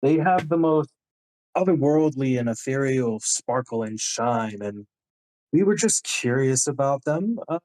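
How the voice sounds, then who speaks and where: A man voicing a cordial, suave male adventurer in a tabletop game, wordy and halting. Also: mid-range voice, lively intonation, darkish tone, close to an everyday voice, low-quality recording. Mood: cordial, flustered. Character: suave, cordial male adventurer who gets flustered and grumpy.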